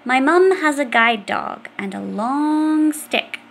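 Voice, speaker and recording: A woman's voice: high voice, lively intonation, takes it slowly, creaky, a bit bright, slight background noise. A woman reading an educational children's book aloud, explaining things directly to young listeners. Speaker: audiobook reader.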